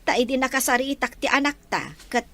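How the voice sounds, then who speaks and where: A woman's voice: high voice, animated, fairly quick, fairly bright tone. An actress playing a practical, content mother in a radio drama. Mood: pleased.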